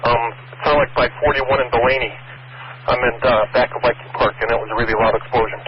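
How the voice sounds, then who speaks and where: A man's voice: mid-range voice, little pitch movement, quick pace, over a noisy crowd. A man speaking as a patrol officer, reporting in unscripted police radio traffic. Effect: two-way radio. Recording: very noisy, muffled police scanner.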